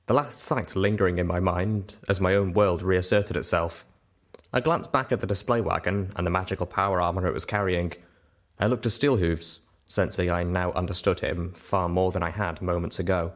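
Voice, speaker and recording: A man's voice: sits low, normal speed. A man reading scripted first-person narration as an audiobook narrator.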